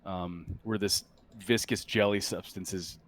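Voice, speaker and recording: A man narrating a tabletop sci-fi game as game master, casually describing scenes in a halting way. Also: deep voice, steady tone, a little hiss or hum.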